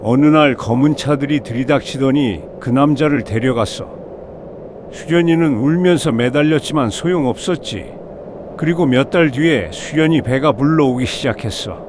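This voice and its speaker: A man's voice: medium pitch, normal speed, noisy background, close to an everyday voice. A man acting a folksy old village chief. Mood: wistful recounting. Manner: folksy, plain old-timer storytelling.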